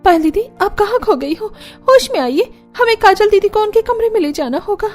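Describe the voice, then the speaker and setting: A woman's voice: extremely high pitch, lively intonation, brisk, faint music behind, voice pushed up. A woman voicing a kind, anxious young daughter-in-law in a cartoon family drama, pleading earnestly and politely. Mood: alarmed, urgent.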